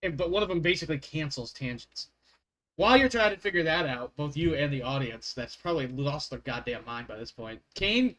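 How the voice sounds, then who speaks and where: A man's voice: a little high, fairly bright tone, poor audio. A man running a tabletop game, chatting casually and rambling off on tangents with frequent laughs. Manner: rambling, laughs often, self-mocking. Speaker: game master.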